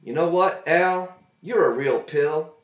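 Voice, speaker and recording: A man voicing a cheeky young male drifter in a verse story, smooth-talking and declamatory in rhyme. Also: fairly high pitch, slow, pitched above the performer's own voice. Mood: indignant. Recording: roomy, slightly dull home recording.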